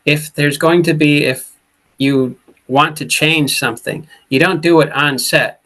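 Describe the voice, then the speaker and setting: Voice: medium pitch, fairly even intonation, a little slow, fairly bright tone. An interview host asking questions in a casual, hesitant way, with many restarts.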